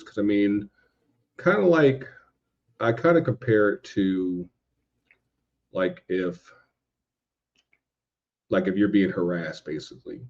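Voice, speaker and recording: A man in a casual podcast discussion, speaking haltingly and repeating words as he works out his thoughts. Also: low voice, a little mellow. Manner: halting, repeats words while thinking, mild profanity. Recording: dull, lo-fi microphone sound.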